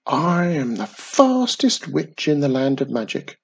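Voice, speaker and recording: A storyteller voicing Wizardy Witch, a cheerful young witch who is proud of how fast she is, in a high, quick, eager voice. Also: big pitch swings, vocal fry, slightly rough, exaggerated voice. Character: cheerful young witch, boastful about her speed. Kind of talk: storybook reading.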